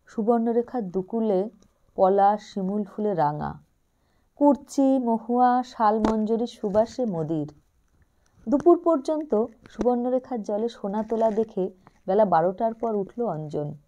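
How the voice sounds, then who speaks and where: A woman narrating a short story in a calm, measured reading. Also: fairly high pitch, dull audio.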